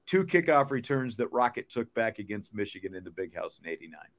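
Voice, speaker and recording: A man's voice: on the low side, sing-song, fairly quick. A middle-aged American man hosting a sports talk show, speaking emphatically and repeating points to drive them home.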